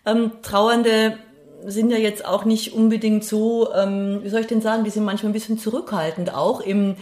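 A woman's voice: mid-range voice, flat delivery, normal speed, darkish tone, roomy sound. A middle-aged woman, talking reflectively in an interview and searching for words as she goes. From Bavaria, Germany. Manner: thoughtful, searching for words, seeks agreement with short tag questions. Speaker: interview guest, singer and grief singing-circle leader.

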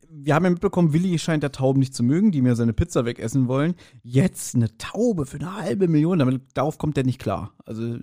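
A man co-hosting a podcast, giving casual, joking and mildly mocking commentary full of halting asides. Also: medium pitch, darkish tone. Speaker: podcast co-host. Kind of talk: casual chat, humorous commentary on an audio drama.

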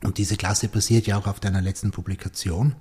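Low, mellow-voiced male podcast host. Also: fairly even intonation, a little fast. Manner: thinks aloud, often ends with a questioning "oder?". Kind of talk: reflective conversational interview.

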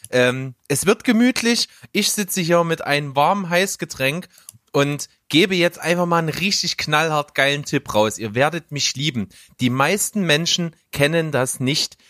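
A man with a slightly high voice hosts a relaxed talk round. He speaks in a colloquial, joking way, and the sound is very dry, with no room to it. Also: dry recording. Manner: jokey, colloquial. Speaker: podcast host. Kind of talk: casual chat.

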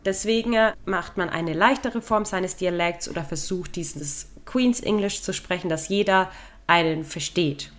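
Explaining woman podcast host, lowish voice. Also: measured pace, fairly bright tone. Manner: informative, laughs at her own jokes. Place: living in London.

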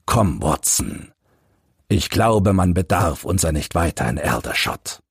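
A man voicing a calm, authoritative, knowing gentleman detective in an audiobook. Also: low-pitched, unhurried, slightly rough, no echo, studio quality, exaggerated voice. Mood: dry, knowing. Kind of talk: audiobook character reading.

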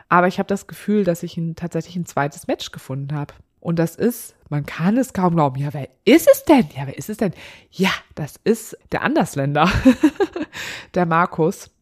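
A woman hosting a solo podcast, talking candidly and chattily with a slightly nasal voice from a blocked nose. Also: low voice. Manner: chatty, candid, laughs often. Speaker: podcast host. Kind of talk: casual solo podcast chat.

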